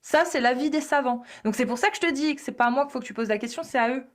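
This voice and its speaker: A woman's voice: high-pitched, very fast. A woman hosting a live online debate, insistent and repetitive, with many fillers.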